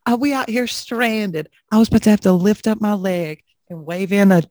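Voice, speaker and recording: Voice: mid-range voice, moderate pace, darkish tone, no room sound. Young adult podcast host, profane and laughing. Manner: profane, laughing, slangy storytelling. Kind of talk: casual chat.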